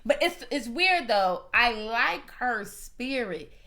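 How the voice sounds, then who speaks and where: A woman co-hosts a casual review chat with a high, bright voice. Her pitch leaps around as she talks, animated and playful. She teases her partner often. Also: takes it slowly. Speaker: co-host of a reality show review.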